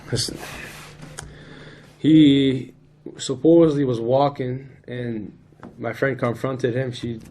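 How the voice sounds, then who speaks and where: A teenage male student acting an aggrieved high schooler, talking in a halting, casual, slangy way. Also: fairly even intonation, mellow. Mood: indignant, flat. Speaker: student actor in a peer mediation dramatization. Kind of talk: acted school role-play dialogue. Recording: noisy room ambience.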